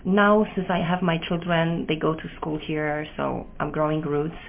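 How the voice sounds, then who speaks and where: A woman's voice: low-pitched, fairly even intonation, normal speed, creaky. A woman in her forties from Poland with a Polish accent, reflecting candidly on where she belongs. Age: 40s. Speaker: interviewee. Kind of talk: personal testimony. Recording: phone-like line with faint noise.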